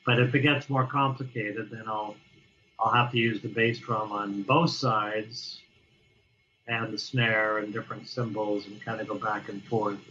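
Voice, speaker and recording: A man talking casually as an interview guest, halting and restarting often as he explains how he plays drums. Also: on the low side, level tone, sharp tone, dull audio, a little hiss or hum. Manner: halting, many restarts and fillers. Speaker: guest drummer.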